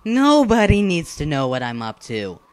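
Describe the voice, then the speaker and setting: A man's voice: fairly high pitch, huge pitch swings, moderate pace, no echo. Man voicing a theatrical, self-assured villain leader. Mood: secretive confident. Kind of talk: scripted animated drama dialogue. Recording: background music under the voice.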